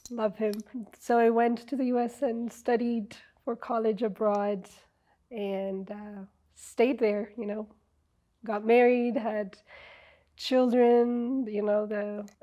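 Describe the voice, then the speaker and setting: A middle-aged woman from Ethiopia speaks slowly in a warm, mellow voice that sits a little high. She is an interview guest in a television studio, telling her story reflectively with many ums and pauses. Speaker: guest, wellness coach.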